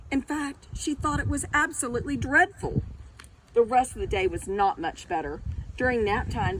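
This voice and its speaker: A woman reads a picture book to young children in an unhurried, deliberate way. Her pitch moves expressively as she speaks straight to her young listeners and invites them to join in. There is noticeable noise behind her voice. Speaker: storytime reader, teacher of young children.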